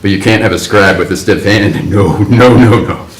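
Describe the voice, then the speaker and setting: A man playing an exuberant, slangy 1950s hipster writer who talks in jazzy, animated bursts. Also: on the low side, normal speed, slight background noise, natural voice. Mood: jazzy, excited. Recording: roomy echo.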